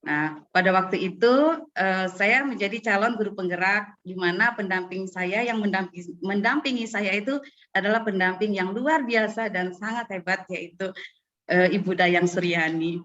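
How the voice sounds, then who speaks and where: A woman teacher informally presenting her own experience in an online call, halting often as she goes. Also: medium pitch, fairly bright tone. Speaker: primary school teacher, guest speaker. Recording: noisy muffled online call audio.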